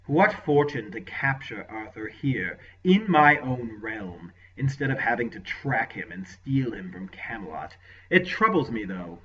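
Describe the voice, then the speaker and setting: A man's voice: mid-range voice, big pitch swings, unhurried, bright, forceful tone, slightly rough, heavily acted. A man voicing a ruthless, scheming lord who speaks with commanding contempt in an audiobook. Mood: imperious scheming. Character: ruthless scheming male warlord lord, venomous and imperious. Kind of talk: audiobook character voice. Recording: lo-fi dull recording.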